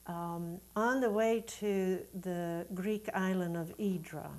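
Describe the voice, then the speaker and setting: A mature woman from Sweden whose first language is Swedish. Her voice sits a little low and she talks very slowly. She reflects on her life as an interview guest, choosing words with care. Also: a little mellow. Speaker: guest, Unitarian Universalist minister. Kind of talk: reflective conversational storytelling in an interview.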